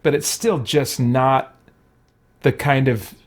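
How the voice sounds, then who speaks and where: A man's voice: mid-range voice, steady tone, takes it slowly. A man giving casual gameplay commentary as a streamer, thinking aloud in a rambling, conversational way and chatting with viewers. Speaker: streamer, let's play host. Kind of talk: casual gameplay commentary, reading and answering chat.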